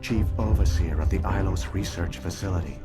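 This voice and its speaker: An actor playing an ancient alien computer program in a video game, speaking in a formal, measured, grave manner. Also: male voice, deep voice, natural voice. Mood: grave, solemn. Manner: formal, measured, solemn exposition. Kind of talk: scripted video game voice acting. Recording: loud music over the voice.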